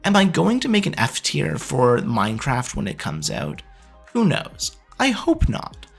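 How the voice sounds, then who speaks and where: Animated man giving scripted opinion commentary. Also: a little high, measured pace, vocal fry, slightly rough, soft background music. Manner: poses questions and answers them himself. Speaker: video essayist and host.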